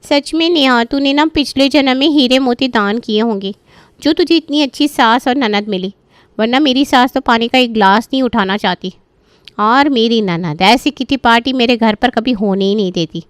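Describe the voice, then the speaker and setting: Woman as young gossiping friend, fairly high voice, catty, envious, emphatic, cartoon story, dry sound. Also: normal speed, clean tone, dry recording, close to an everyday voice.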